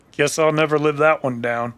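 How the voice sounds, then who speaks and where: A cheeky, gallant cowboy of the Old West, played by a man. His voice sits fairly high, and he talks in a folksy, wry way, in the manner of a radio Western. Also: fairly even intonation, a little slow, a bit bright, smooth, dry recording. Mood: sheepish.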